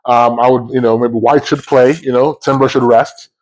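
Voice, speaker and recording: A man co-hosting a football podcast, talking in an animated, casual way and often cutting across his co-host. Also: mid-range voice, moderate pace, poor audio.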